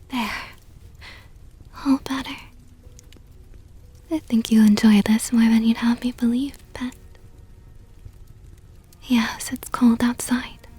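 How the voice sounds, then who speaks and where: Woman doing a whispery vampire countess for asmr, super breathy n slow, possessive but tender, soft music behind. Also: fairly high pitch, heavily acted. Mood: teasing, tender. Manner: slow, coaxing, affectionate murmur. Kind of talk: ASMR roleplay, intimate whispering.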